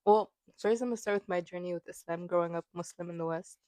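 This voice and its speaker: A young woman in her twenties with a lowish voice talks in a loose, chatty way, full of fillers and little laughs. She is close to a small desk mic, and the sound is dry with no room echo. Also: steady tone, fast talker, warm tone. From New York. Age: 20s. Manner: chatty, giggly, many fillers. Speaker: podcast co-host. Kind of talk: casual chat.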